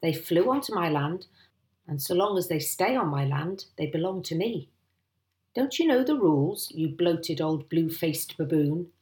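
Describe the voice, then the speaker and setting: A woman voicing a calm, defiant father who speaks quietly and firmly. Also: lowish voice, a little slow, reverberant. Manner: quiet, firm. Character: calm, defiant working-class father, man.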